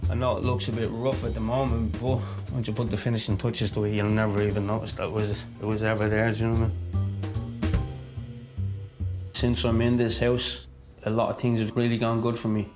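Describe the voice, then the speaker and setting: A man's voice: lowish voice, flat delivery, brisk, over music. Man with a thick Dublin accent. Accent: thick inner-city Dublin Irish. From Dublin, Ireland. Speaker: client of a homelessness charity. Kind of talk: informal personal testimony to camera.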